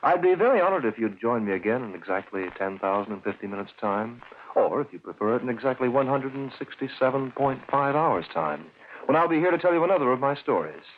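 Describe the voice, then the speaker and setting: An actor voicing a personified clock who narrates the story with a theatrical, lilting delivery. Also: male voice, mid-range voice, expressive, fast, lightly acted. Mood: wry genial. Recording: tinny, faintly hissy old radio recording.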